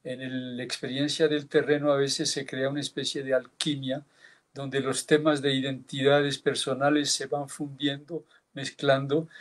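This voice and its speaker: A man in his seventies from France, giving a measured, reflective tribute speech over a video call, with small hesitations. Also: medium pitch, flat delivery. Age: 70s. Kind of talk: formal reminiscence, partly read.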